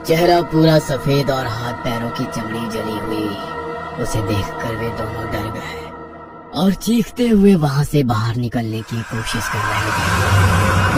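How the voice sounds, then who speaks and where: Expressive male horror narrator over music. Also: mid-range voice, distant mic. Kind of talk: scripted horror storytelling.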